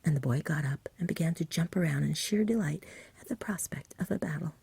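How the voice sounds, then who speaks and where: A woman reading a story in a soft, breathy ASMR whisper, close to the microphone. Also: low-pitched, fairly quick, no room sound.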